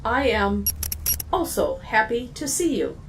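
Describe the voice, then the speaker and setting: An actress performs a polite female ship robot for a sci-fi audio drama. Her voice is creaky and swings in pitch while staying formal and stilted. Words can stick and repeat like a glitch, over faint background noise. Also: big pitch swings, slow pace, exaggerated voice, rough recording. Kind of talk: science fiction audio drama.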